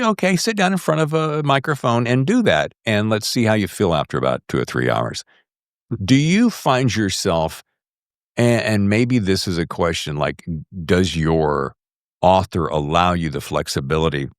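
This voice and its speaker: Male interviewer, low register, chatty and effusive with restarted phrases, dry and close. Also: no echo. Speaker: podcast host. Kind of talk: conversational podcast interviewing.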